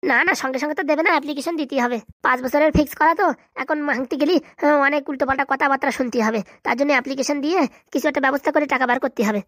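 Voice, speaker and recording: A man's voice: very high-pitched, very fast, a bit bright, no echo, clean studio sound. A man voicing an anxious, reluctant young husband in a comedy cartoon, fretful and evasive with his father-in-law.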